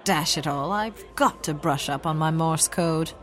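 A woman playing a plucky, brisk young society heroine in a radio drama parody, sassy and full of period slang. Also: low voice, fairly bright tone, soft background music. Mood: wry. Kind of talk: old-time radio drama parody acting.

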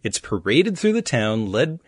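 A man's voice: mid-range voice, very animated, unhurried, breathy edge, dry recording. A male podcast host reads quirky trivia aloud with a dry, teasing lilt. His voice swings up and down a lot as he talks. The sound is close and dry, with no room around it. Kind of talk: playful podcast chat.

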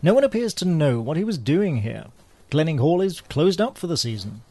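An actor playing an urbane, wry British spy in a spoof radio drama, with a droll, understated British delivery. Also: male voice, on the high side, big pitch swings, moderate pace, darkish tone, dry recording. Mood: wry, composed. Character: urbane, wry male British spy, unflappable gentleman agent.

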